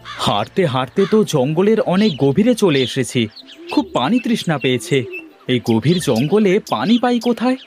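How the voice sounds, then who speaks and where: A poor village father acted by a man with a high, very animated voice. He is earnest, devoted and easily amazed, exclaiming his way through a cartoon fairy tale. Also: normal speed, faint music behind. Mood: determined, weary. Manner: exclaiming, expressive.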